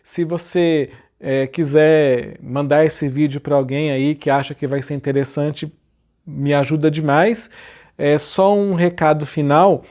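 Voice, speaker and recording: Mellow-voiced Brazilian man, chatty. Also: on the high side, steady tone, unhurried. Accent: Brazilian Portuguese. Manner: chatty, informal, frequent tag questions. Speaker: channel host, design tutorial maker. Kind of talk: casual talk to camera. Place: small dark indoor room.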